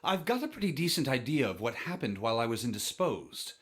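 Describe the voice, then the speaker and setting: An actor playing a composed, analytical young gentleman with formal, polite speech in a visual novel game. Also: medium pitch, very animated, fairly quick, close to an everyday voice. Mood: calm deduction.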